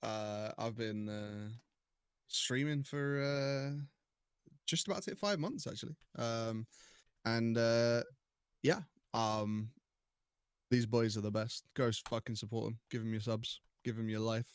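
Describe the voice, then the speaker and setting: Halting, joking man streamer. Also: mid-range voice, smooth, no room sound, studio quality. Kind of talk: casual podcast chat.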